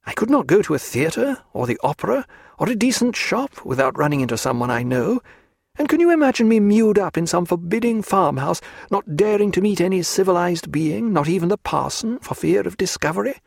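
A man's voice: very animated, a little fast, creaky, no room sound, studio quality, exaggerated voice. A man reading aloud voices a proud, desperate young aristocratic woman who is pregnant and pleads in an upper-class manner. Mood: pleading. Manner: imploring, high-strung, upper-class.

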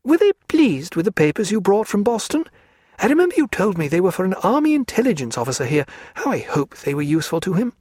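A male audiobook narrator voicing a lively, teasing young English lady with a gushing manner. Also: quick pace, no room sound, clean studio sound. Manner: gushing, teasing, high-spirited.

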